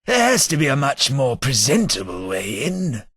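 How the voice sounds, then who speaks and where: An actor voicing a foppish, snobbish aristocratic companion in a game, with a languid, sardonic upper-class drawl. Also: male voice, mid-range voice, wildly swooping pitch, moderate pace, a bit bright, husky, no room sound, studio quality, exaggerated voice. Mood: drily snobbish. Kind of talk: game voice acting.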